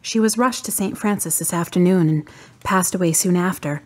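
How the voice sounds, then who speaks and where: A woman acting a grieving, stoic adult daughter in a radio drama, restrained and husky. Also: lowish voice, normal speed, darkish tone, lightly acted. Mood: weary.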